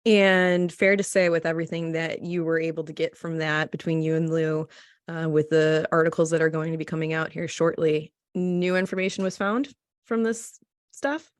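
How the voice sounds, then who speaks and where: Very low-pitched woman interviewer. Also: steady tone, normal speed, clear, resonant tone, dry recording, clean studio sound. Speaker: podcast interviewer. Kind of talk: conversational interview.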